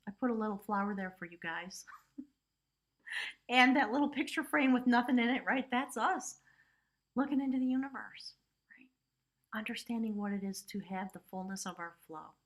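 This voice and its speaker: A woman giving a casual spiritual tarot reading, talking chattily with lots of "you know" and "right?" asides. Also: medium pitch. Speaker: tarot reader.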